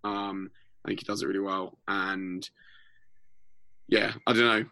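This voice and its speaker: A man co-hosting a music podcast, enthusiastic and sweary, with a slightly rough voice. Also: low-pitched, level tone, measured pace, sharp tone, no echo. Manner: enthusiastic, sweary, filler-heavy. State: voice a bit rough. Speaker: podcast co-host. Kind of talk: casual music podcast chat.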